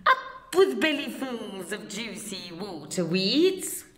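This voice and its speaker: A woman's voice: medium pitch, very animated, takes it slowly, fairly bright tone, roomy sound. A woman voicing cheerful, friendly duck-billed dinosaurs from a storybook with hooting exclamations. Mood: delighted.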